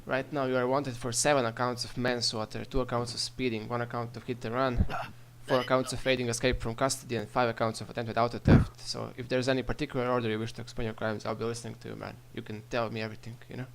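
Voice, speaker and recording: Easygoing roleplay cop: a man with a mid-range voice, relaxed, with faint hum underneath. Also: medium pitch, fast, gravelly, few pauses. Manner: laid-back, filler-heavy talker. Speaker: roleplay police constable. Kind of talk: in-character roleplay interrogation. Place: online game voice chat.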